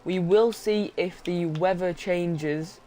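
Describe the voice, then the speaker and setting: A hoarse man with a sore throat giving live sim racing commentary, blunt and joking with his co-host, clearing his throat now and then. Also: sits high, slow pace, mellow, slight background noise.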